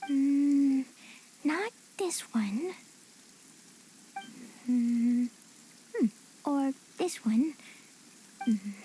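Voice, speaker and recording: Naive, excitable little girl for a game: tiny high voice, big melodic swings, faint background noise. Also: very slow, long pauses, heavily acted, low-quality recording. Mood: eager, searching. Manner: childlike, excitable, simple words. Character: cheerful, naive, rash little girl adventurer. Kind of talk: English video game voice acting.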